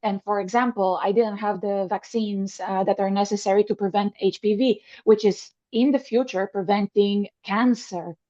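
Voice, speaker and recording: Woman from Bulgaria, host, warm mellow tone, earnest, slightly muffled lo-fi sound. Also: mid-range voice, steady tone, moderate pace, hardly any pauses. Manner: earnest, agreeing, with frequent fillers and restarts. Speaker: podcast host. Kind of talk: conversational interview.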